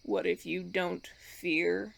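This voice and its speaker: A man playing a suave, menacing vampire recruiter in an audio roleplay, speaking deliberately with theatrical, patronizing persuasion. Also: sits high, flat delivery, gravelly, a bit bright, exaggerated voice. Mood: smooth, persuasive. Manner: theatrical, deliberate, silky persuasion with veiled threats. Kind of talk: audio roleplay, ASMR monologue.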